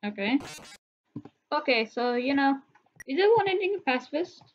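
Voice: high-pitched, expressive, normal speed, dull audio, slight background noise. A gamer giving casual, offhand commentary while playing a video game, thinking aloud. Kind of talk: casual let's play commentary. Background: video game music.

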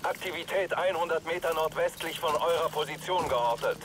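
Man acting terse, higher-voiced military mission controller. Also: a little fast, noisy background, lightly acted. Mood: urgent, official. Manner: official, terse. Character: male mission controller coordinating soldiers, brisk and urgent. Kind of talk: military shooter game acting. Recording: distant voice under very loud game soundtrack.

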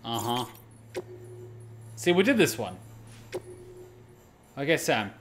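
A man's voice: mid-range voice, sing-song, slow, a bit bright. A man giving casual live gameplay commentary, exclaiming, questioning and swearing as he plays. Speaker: gaming streamer. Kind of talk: casual live gameplay commentary, reading on-screen text aloud. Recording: background noise behind the voice.

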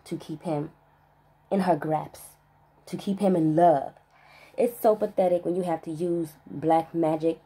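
A woman vlogger in a spiritual rant monologue, emphatic and profane, stressing single words with long pauses. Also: on the low side, dark tone, husky.

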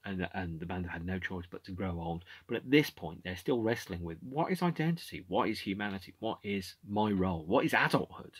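Man, 40s, deep gravelly voice, husky, chatty album talk to a webcam at home. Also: fairly quick, hardly any pauses, dry recording. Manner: animated, gestures with hands, many fillers and restarts. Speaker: podcast host. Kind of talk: casual music commentary. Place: home room with shelves of CDs.

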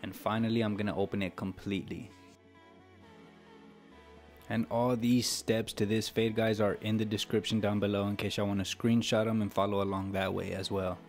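A man's voice: lowish voice, level tone, moderate pace. An American man narrating an instructional tutorial in a casual, step-by-step way. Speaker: barber giving a tutorial. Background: background music with guitar.

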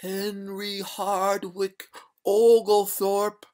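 A haughty drowned maiden's ghost , acted out by a man reading a storybook, pitched well up and gurgling. The words come slowly and drawn out, with formal indignation and a doomed air. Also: monotone, a little mellow. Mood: haughty, indignant. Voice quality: gurgling, watery. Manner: slow, drawn-out, formal old-fashioned speech. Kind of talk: storybook character voice.

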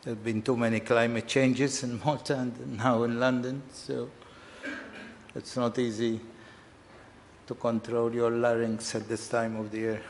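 A middle-aged man with a hoarse voice giving a conference talk from a podium, pausing to clear his throat. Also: mid-range voice, little pitch movement, slight background noise. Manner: unhurried, frequent throat-clearing and fillers. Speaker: financial regulator giving a keynote talk. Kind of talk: conference presentation. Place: large hall with chandeliers. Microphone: podium microphone.

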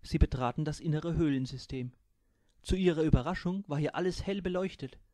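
A man's voice: a little high, brisk, warm tone, no room sound. A man narrating his own humorous science fiction story in a dry storytelling manner. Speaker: author reading his own book. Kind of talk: audiobook narration.

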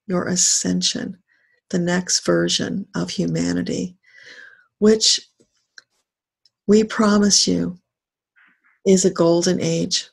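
Slow, creaky-voiced woman guiding meditation. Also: on the low side. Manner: deliberate short phrases with long pauses, solemn. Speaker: spiritual teacher leading a guided meditation. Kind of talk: guided meditation, spiritual message.

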